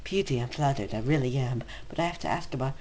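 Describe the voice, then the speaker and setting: A man's voice: mid-range voice, quick pace, a little mellow, lightly acted. A man voicing an astonished young man who questions his friend earnestly, in a fan fiction reading with background noise. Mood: tender. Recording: noisy home recording.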